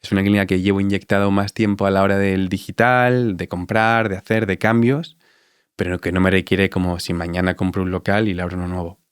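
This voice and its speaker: A man in his thirties with an Andalusian Spanish accent, answering interview questions in a reflective, halting way with many fillers. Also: deep voice, flat delivery, smooth, no room sound, clean studio sound. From Spain. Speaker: dentist guest.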